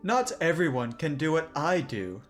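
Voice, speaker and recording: A woman's voice: low voice, big pitch swings, normal speed, faint music behind. Woman playing a vain, theatrical fantasy bard. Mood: haughty. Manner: theatrical, self-important. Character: vain, theatrical bard, haughty and dryly sarcastic.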